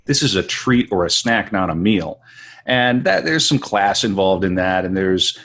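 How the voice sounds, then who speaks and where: A man's voice: low voice, lively intonation, measured pace, fairly bright tone. A man from western North Dakota hosting a podcast, musing in a reflective, rambling way. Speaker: podcast host. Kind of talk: casual conversation.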